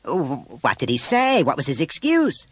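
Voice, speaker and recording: A man's voice: mid-range voice, wildly swooping pitch, measured pace, bright, forceful tone, no echo, voice pushed up, full character voice. A man voicing a proud, formidable, well-bred mother with a haughty, clipped and indignant manner. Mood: alarmed, shrill.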